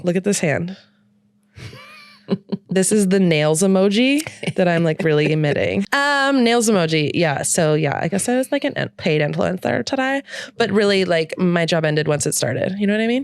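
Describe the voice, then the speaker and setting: A woman's voice: on the low side, lively intonation, moderate pace, creaky, dry recording. A woman hosting a casual, unscripted podcast from a bed, rambling, giggly and self-deprecating. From Ontario, Canada. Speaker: podcast host. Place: hotel room, lying in bed.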